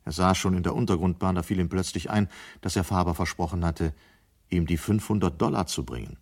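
An actor narrating a 1970 radio play as a sober, measured storyteller. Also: male voice, sits low, darkish tone.

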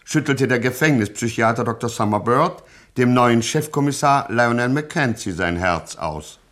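A man's voice: medium pitch, lively intonation, moderate pace, a bit bright. A man narrating a satirical radio drama in a scripted, mock-epic style.

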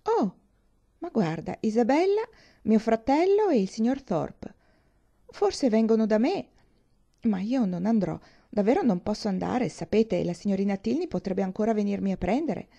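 A woman's voice: medium pitch, very animated, moderate pace, creaky, mellow, dull audio. A woman voicing an eager, naive young woman in a storybook, with hesitant, questioning exclamations. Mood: flustered. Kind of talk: audiobook character dialogue.

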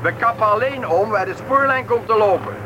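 An actor playing a helpful, talkative settlement official who politely explains things to newcomers in a Dutch radio play. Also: male voice, high-pitched, lightly acted. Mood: informative, proud. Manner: explanatory, polite, brisk guide. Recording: old radio play, faint background noise.

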